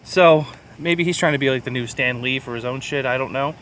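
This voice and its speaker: A man's voice: medium pitch, a little fast, a bit bright, a little hiss or hum. A man chatting casually and opinionatedly as he co-hosts a movie review, rambling and swearing freely. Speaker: podcast co-host reviewing films.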